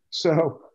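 Rambling older American man, halting delivery. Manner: rambling, halting, self-deprecating. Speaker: community theater actor. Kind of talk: casual chat at an online talkback. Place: indoors at home on a video call. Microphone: video call microphone.

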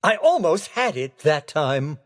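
A man voicing a theatrical, excitable skeleton gentleman in a racing video game, with courteous, exclamatory delivery. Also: on the high side, a little slow. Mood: dismayed. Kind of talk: video game character voice clips.

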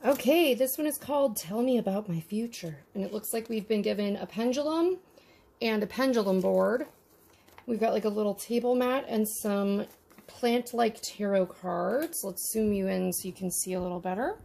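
Chatty woman with a gravelly edge. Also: medium pitch, dark tone. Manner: chatty and wry, with quick asides. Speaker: miniature unboxing host. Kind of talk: casual unboxing narration. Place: indoors at a tabletop.

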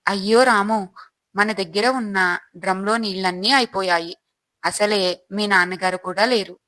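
A woman's voice: medium pitch, fairly even intonation, unhurried, sharp tone, no echo. A woman playing a worried, earnest and upright village wife and mother in a moral storybook tale. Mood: worried, instructing.

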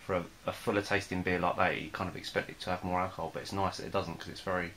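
A young man casually reviewing a beer at home, talking in a relaxed, hesitant way with many fillers. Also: low voice, rapid-fire, a little hiss or hum. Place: small room in front of a plain wall.

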